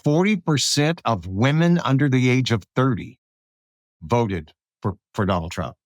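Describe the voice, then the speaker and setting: Man, American accent, mid-pitched, dry sound, casual halting podcast host chat. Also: expressive, no room sound. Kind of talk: casual political conversation.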